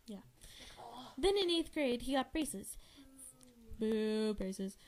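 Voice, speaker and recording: A young woman narrates a novel aloud in an informal, amateur way. Her voice is high, and she is giggly, often stumbling and correcting herself. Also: expressive, slow. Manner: giggly, stumbles over words and self-corrects. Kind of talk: informal dramatic reading of a novel.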